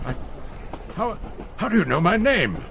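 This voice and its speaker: A man's voice: high voice, big pitch swings, unhurried, vocal fry, fairly bright tone, a little hiss or hum, full character voice. An actor playing a pompous, frightened, stammering man who bosses people about in an audio drama. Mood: startled, indignant. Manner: stammering, indignant. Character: flustered, bossy, frightened man, pompous and condescending.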